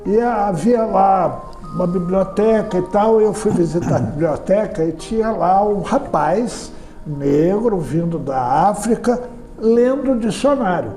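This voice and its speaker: High-pitched, animated Brazilian male interviewer. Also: unhurried, reverberant, faint music behind. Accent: Brazilian Portuguese. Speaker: interviewer, journalist. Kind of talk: conversational interview with storytelling.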